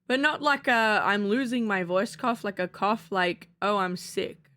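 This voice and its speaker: A woman's voice: medium pitch, unhurried, pushed and bright. A woman streaming live, chatting playfully with her chat, with a slight cough. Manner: playful, silly, sings nonsense syllables.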